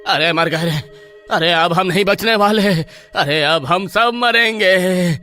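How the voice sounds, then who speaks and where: A man doing a jittery comic village lad in a spooky cartoon, forever wailing in fright. His voice is bright and sharp, pitched a little high, and he drags out each panicked plea slowly. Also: soft background music, heavily acted. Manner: wails and shrieks in panic, comic. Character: panicky comic young male villager Hira.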